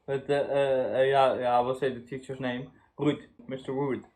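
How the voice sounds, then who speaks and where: Young man, halting casual chat. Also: medium pitch, flat delivery, a little mellow. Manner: halting, searching for words. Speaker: co-host in a story chat.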